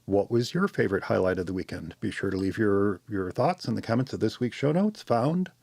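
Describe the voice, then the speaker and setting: Low gravelly podcast host, dry mic. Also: male voice, a little fast, dry recording. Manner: rambling, relaxed explainer. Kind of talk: casual podcast chat.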